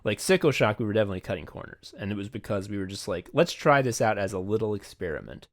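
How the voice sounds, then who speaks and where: Male podcast co-host, lower-pitched, loose conversational delivery, freely profane. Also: expressive, quick pace. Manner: frequent casual swearing. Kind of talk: casual behind-the-scenes podcast chat.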